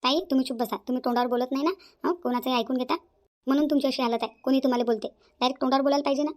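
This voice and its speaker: Blunt young daughter-in-law, woman acting, high and rapid. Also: fairly even intonation, a little mellow, lightly acted. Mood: stubborn. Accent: rural Maharashtra, Varhadi. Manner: outspoken, insistent, combative. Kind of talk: cartoon family drama acting.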